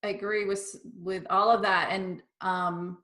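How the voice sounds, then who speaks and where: A woman's voice: mid-range voice, little pitch movement, a little slow, sharp tone. A woman interviewer, agreeing with her guest and encouraging her in casual talk. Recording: echoey room.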